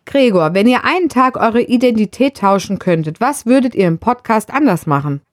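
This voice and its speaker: A woman's voice: a little high, expressive, moderate pace, no echo, clean studio sound. A woman reading out scripted questions to podcast hosts.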